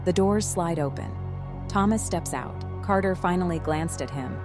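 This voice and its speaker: A woman's voice: fairly low pitch, moderate pace, music in the background. A woman reading scripted narration for a horror audio drama.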